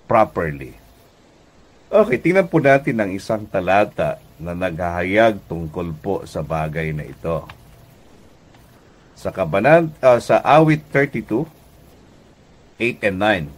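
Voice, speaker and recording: Male Filipino radio preacher, low-pitched, unhurried and deliberate, pausing and repeating key words for emphasis. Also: husky. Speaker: pastor, radio program host. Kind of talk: radio devotional sermon.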